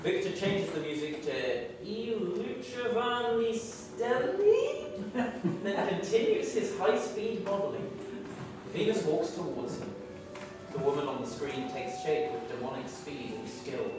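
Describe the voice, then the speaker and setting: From far off in a heavily reverberant room, a man reads scripted stage directions. His voice sits on the high side, and he narrates the action at a staged reading. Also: very animated, a little slow, noisy background. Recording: very echoey room, distant microphone.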